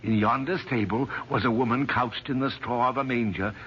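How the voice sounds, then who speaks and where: An actor playing a portly, boastful older physician of ancient Bethlehem in an old-time radio drama, grandiloquent and long-winded in archaic English. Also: male voice, medium pitch, steady tone, moderate pace, a little hiss or hum, close to an everyday voice. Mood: earnest amazement. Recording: dull old radio recording.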